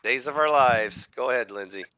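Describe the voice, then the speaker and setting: A man in casual group chat who jokes and throws in quick interjections. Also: medium pitch, over a noisy crowd. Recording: dull, lo-fi dry sound.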